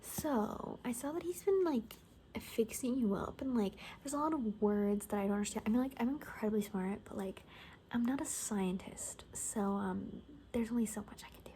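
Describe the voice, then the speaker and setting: A woman doing a soft-spoken, breathy ASMR roleplay as a cocky, gossipy apocalypse survivor, often dropping into a whisper and rambling casually. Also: on the high side, moderate pace, a little hiss or hum.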